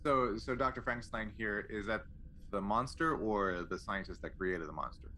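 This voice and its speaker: A man with a slightly low, bright and forceful voice chats casually as a tabletop game player. His manner is wry and joking, and there is faint noise behind him. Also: normal speed.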